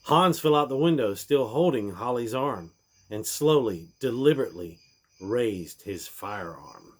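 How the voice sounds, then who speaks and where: Guy doing a dramatic read-aloud of rhyming verse, storybook style, mid pitched voice, big emphasis then trailing off. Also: animated, unhurried, husky. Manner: theatrical, emphatic, swelling and dropping at line ends. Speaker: reader of a parody Christmas storybook.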